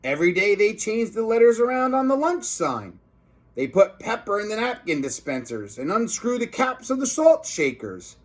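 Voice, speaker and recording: A man's voice: high-pitched, big pitch swings, normal speed, voice pushed up. A man voicing a fed-up school cafeteria lady from a children's storybook, complaining in an aggrieved, emphatic way. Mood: indignant complaining.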